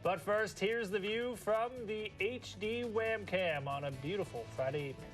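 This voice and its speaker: A woman's voice: mid-range voice, normal speed, music in the background. A woman with an American accent co-anchoring TV news in casual banter and teasers.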